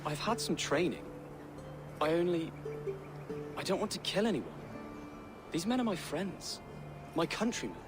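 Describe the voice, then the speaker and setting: An actor playing a gentle, curious young English prince in a video game, polite, earnest and a little hesitant. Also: male voice, sits high, big pitch swings, unhurried, long pauses, over music. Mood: nervous. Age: young adult. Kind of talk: video game cutscene acting. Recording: loud horse hooves and outdoor ambience.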